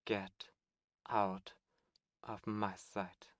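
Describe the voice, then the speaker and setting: Demon prince, voiced by a man, silky, condescending, menacing, slow-spoken, dry close mic. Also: on the low side, little pitch movement, fairly bright tone, no room sound. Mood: scornful. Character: suave, cold male demon prince. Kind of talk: ASMR roleplay audio drama.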